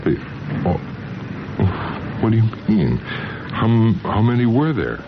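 A man acting in a 1970s radio drama as a shy, earnest, lovestruck engineer, speaking haltingly and eagerly. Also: on the low side, sing-song, background noise. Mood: urgent, lovestruck. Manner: halting, eager, repeating himself. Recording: dull vintage radio recording with music.

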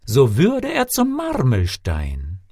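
A man's voice: medium pitch, wildly swooping pitch, warm tone, breathy edge, no echo, clean studio sound, heavily acted. A man voicing a mournful male dove who prophesies doom in portentous rhyme, in a storybook reading. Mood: ominous lament. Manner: slow, rhyming, portentous.

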